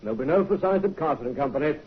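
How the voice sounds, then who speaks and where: A husky, sardonic police inspector. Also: male voice, a little high, animated, rapid-fire. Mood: stern. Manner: dry, clipped, sardonic. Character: stern, shrewd male police inspector. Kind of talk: 1950s radio acting. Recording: muffled vintage radio recording.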